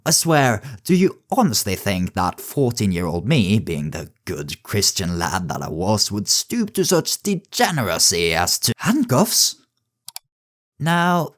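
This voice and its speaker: A man giving scripted comedic narration as a video essay host, in a wry, tongue-in-cheek way. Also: fairly low pitch. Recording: music behind the voice. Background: background music.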